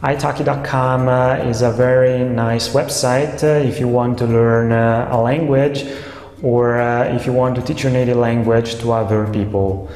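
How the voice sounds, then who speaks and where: A man's voice: medium pitch, fairly even intonation, moderate pace, darkish tone. Italian man, hesitant, echoey room. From Italy. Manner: hesitant, many "uh" fillers. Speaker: online language tutor. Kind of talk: casual talk to camera. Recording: echoey room with long reverb.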